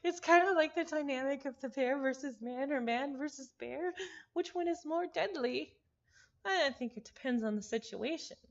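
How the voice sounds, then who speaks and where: A woman streamer reading a visual novel aloud, mixing expressive narration with casual asides. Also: high-pitched, normal speed, creaky, lo-fi sound.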